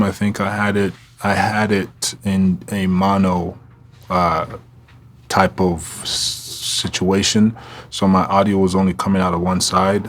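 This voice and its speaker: A man with a deep voice giving a loose, rambling gear review indoors on a lavalier mic, full of fillers and restarts. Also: slight background noise. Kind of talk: casual product review. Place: indoor room.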